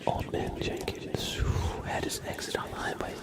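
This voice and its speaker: A man softly whispering in an unhurried way as an ASMR streamer chatting to his viewers. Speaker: ASMR live streamer, host.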